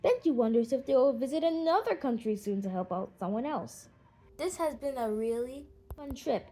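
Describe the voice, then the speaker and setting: A woman's voice: high-pitched, expressive, normal speed, warm tone. A woman narrating a children's storybook as an audiobook.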